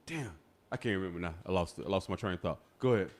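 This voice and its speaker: A man's voice: deep voice, racing. A man from Florida co-hosting a podcast, chatting casually and reacting to his co-host with short replies. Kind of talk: casual chat.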